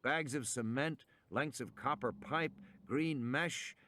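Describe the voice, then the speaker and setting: A man reading a suspense novel as a narrator, with measured, enunciated dramatic delivery. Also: mid-range voice, a bit bright. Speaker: audiobook narrator.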